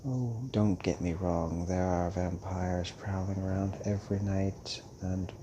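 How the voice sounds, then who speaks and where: A man playing a calm, fatherly old vampire mentor in an intimate audio roleplay, musing hesitantly with many pauses. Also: sits low, background noise. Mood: pensive, grave.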